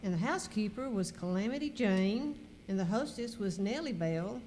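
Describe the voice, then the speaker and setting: A woman's voice: medium pitch, animated, moderate pace, a little mellow, close to an everyday voice. A woman playing a curious, suspicious cruise passenger in an amateur radio skit. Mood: realizing, alarmed. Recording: echoey hall, faint noise.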